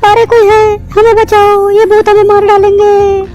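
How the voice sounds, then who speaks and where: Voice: very high-pitched, monotone, a little slow, darkish tone, faint music behind, pitched above the performer's own voice. A performer voicing a frightened young girl in a horror story, panicky and pleading. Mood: panicked pleading.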